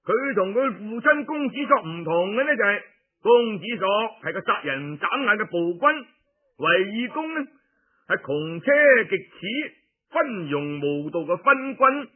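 A male storyteller with a Guangdong Cantonese accent and a high-pitched voice. He takes his time, stretching phrases for emphasis in a theatrical, colloquial way, in the style of traditional radio tale-telling. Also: big pitch swings, unhurried, phone-quality sound.

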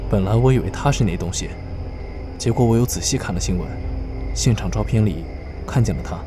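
A man's voice: lowish voice, a little fast, music in the background, natural voice. A man playing a caring, chatty young male friend in an audio drama, easily exasperated. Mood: puzzled, serious. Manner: chatty, mildly grumbling.

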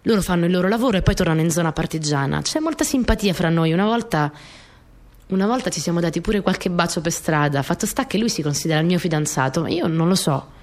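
An actress playing a spirited young wartime partisan courier girl in an expressive radio theatre monologue. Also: lowish voice, fast talker. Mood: fond, confiding. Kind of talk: dramatic first-person monologue for radio theatre.

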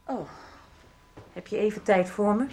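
A woman's voice: mid-range voice, measured pace, a little mellow, husky. An actress playing a distraught, self-blaming mother who drinks, in a 1990s radio soap. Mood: contrite. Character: distraught, guilt-ridden, foul-mouthed mother who drinks.

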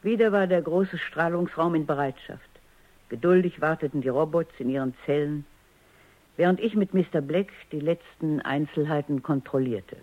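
An actress playing a probing, authoritative robot psychologist in a German radio drama, with a formal and pointed manner. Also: female voice, low voice, moderate pace, lightly acted. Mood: composed, exacting. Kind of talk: German radio drama acting with first-person narration. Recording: dull, band-limited radio recording.

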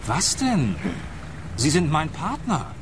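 An actor playing an eager, clumsy young private detective from Germany with a German accent, pushy and flustered. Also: male voice, a little high, sing-song, slow pace, heavy background noise.

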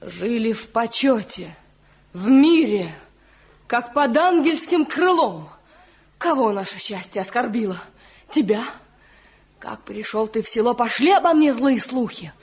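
An actress playing an anguished, indignant young village wife in a tragic, declamatory Soviet radio drama style. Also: female voice, fairly high pitch, expressive, measured pace. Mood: anguished, accusing. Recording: dull vintage radio recording.

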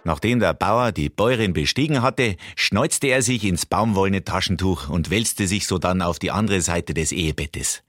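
An actor playing a deadpan, matter-of-fact narrator of earthy absurd tales in a radio play. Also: male voice, sits low, normal speed, few pauses, no echo, clean studio sound.

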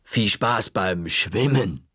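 A man voicing a smug, quietly threatening woman librarian, speaking slowly, in a storybook reading. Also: lowish voice, animated. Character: sly menacing woman librarian, middle-aged.

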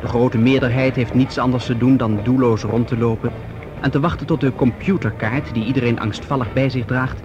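A man narrating a radio play as its announcer, in a measured, portentous scripted style. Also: medium pitch, steady tone. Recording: dull old radio recording under music. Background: music and dramatic sound effects.

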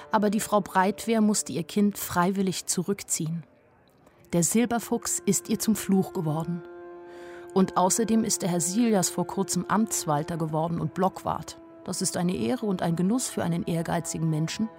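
A woman's voice: mid-range voice, darkish tone, lightly acted. An actress playing a naive but observant young woman who tells her own story in a wry, plain-spoken radio drama narration.

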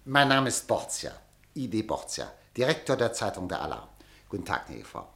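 A smooth, fawning newspaper director in a radio play, his pitch rising and falling in lively swings. He speaks in an insinuating, flattering way. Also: a little fast, heavily acted. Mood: ingratiating. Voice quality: ingratiating and insinuating.

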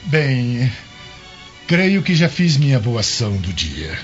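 An actor playing a self-assured, gallant Roman governor with pompous theatrical delivery. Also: male voice, mid-range voice, big pitch swings, takes it slowly. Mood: gallant, pleased. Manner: smooth-tongued, pompous, theatrical. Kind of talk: radio drama acting. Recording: dull lo-fi radio drama, music underneath.

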